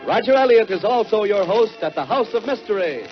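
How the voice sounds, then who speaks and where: A high-pitched American man announces in 1940s radio style. The old recording sounds dull, and music plays clearly behind his voice. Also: lively intonation, fairly quick.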